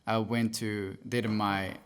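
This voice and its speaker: A man's voice: on the low side, little pitch movement, slow pace, slight background noise. A young man from El Salvador, a podcast guest, telling his story earnestly with frequent fillers and self-corrections. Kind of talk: casual interview storytelling.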